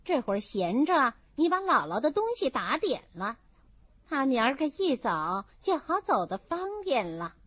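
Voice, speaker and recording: Woman doing a rich shrewd mistress of the house in an old novel, chatty, really lively pitch. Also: normal speed, breathy edge, no room sound, full character voice. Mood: brisk, instructing. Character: brisk, shrewd, gracious lady of a wealthy household. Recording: dull, muffled audio.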